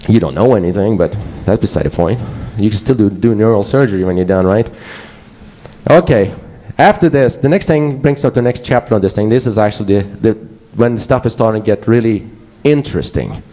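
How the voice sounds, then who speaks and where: Man with a Swedish accent and a low, warm voice, presenting casually and wryly to a crowd. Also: animated, fairly quick. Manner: casual, joking, digressive. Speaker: conference speaker. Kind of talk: presentation talk to a live audience.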